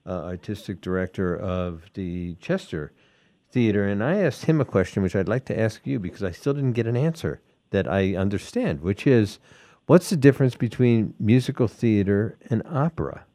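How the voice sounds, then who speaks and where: A man's voice: fairly low pitch, expressive, moderate pace, warm tone, no echo. A man, hosting a conversational interview. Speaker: interview host.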